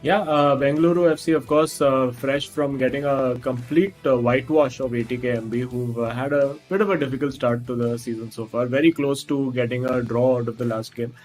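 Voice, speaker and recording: A man's voice: mid-range voice, steady tone, darkish tone, poor audio. Man, esports co-commentator, hesitant with lots of fillers, faint music behind. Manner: halting, many fillers and restarts.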